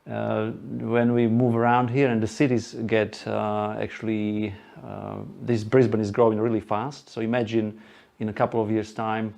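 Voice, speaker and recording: Gravelly Slovak-accented man presenting. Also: fairly low pitch, dark tone. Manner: informal, chatty, halting, with asides and small laughs. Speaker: presenter at an electric car group event. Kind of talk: live presentation to a small audience.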